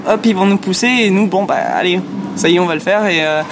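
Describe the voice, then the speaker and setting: A woman whose first language is English, answering interview questions in French as a musician, pausing often to search for words. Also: medium pitch, background noise.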